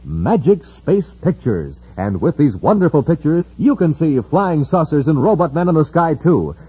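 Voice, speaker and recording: A man's voice: on the high side, big pitch swings, normal speed, breathy edge. A man announcing and narrating in scripted 1950s radio style, punchy and theatrical, on a muffled, noisy old recording.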